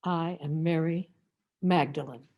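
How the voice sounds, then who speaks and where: A woman's voice: low voice, steady tone, measured pace, darkish tone. A woman reading a dramatic first-person meditation as an anguished, defiant biblical woman, in an emotive, theatrical manner. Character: Mary Magdalene, anguished, defiant former prostitute. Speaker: reader of a church meditation.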